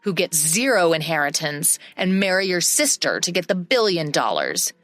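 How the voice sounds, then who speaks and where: A woman's voice: on the low side, big pitch swings, moderate pace, fairly bright tone, no room sound, faint music behind. A woman voicing a greedy adult husband who pushes and demands money, in a narrated story. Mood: scornful. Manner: pushy, money-obsessed demands.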